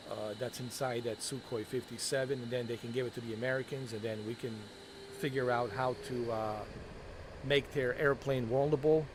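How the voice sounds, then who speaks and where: Chatty man with a slight Bosnian accent. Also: mid-range voice. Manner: rambling, opinionated, talks to viewers as "guys" and "bro". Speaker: gaming video creator. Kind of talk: casual gameplay commentary. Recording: loud background noise.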